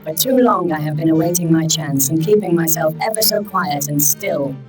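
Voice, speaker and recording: A man's voice: a little high, moderate pace, soft background music, pitched above the performer's own voice, natural voice, poor audio. A man voicing a talking handbag that scolds everyone in a lofty, reproachful tone in an audiobook. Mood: stern.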